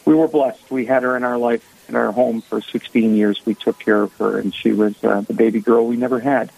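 A man's voice: medium pitch, fairly even intonation, a little fast, gravelly, a little mellow, breathy edge, rough recording. A man in his sixties from the Boston area with a Boston accent, a guest speaking earnestly in a radio interview.

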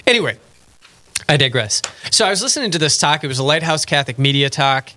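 A man hosting a radio talk show speaks with a bright, ringing tone. He chats in a loose, friendly way and laughs easily at his own jokes. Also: a little high, animated, fast. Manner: chatty, self-deprecating, laughs easily. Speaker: radio host. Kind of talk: casual faith radio chat.

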